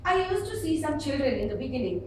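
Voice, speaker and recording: A high-voiced woman with an Indian accent teaches a club audience about public speaking. Her delivery is emphatic and swings widely in pitch. She is recorded from a distance in a very echoey room. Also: fast talker, a little hiss or hum. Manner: expressive, emphatic, with acted-out examples. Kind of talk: instructional speech to a live audience. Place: indoor meeting room before an audience.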